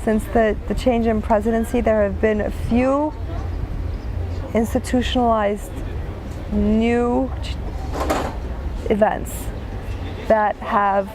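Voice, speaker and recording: Brief: woman, slightly high, unhurried, reflective, street noise behind. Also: fairly even intonation. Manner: measured, thoughtful. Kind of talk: reflective interview answers.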